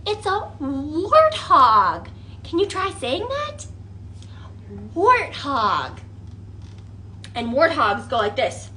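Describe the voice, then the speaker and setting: A woman leading an interactive children's storytime as a librarian, talking in an animated way with exaggerated wonder and coaxing questions. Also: high voice, drawn-out words, long pauses, slight background noise.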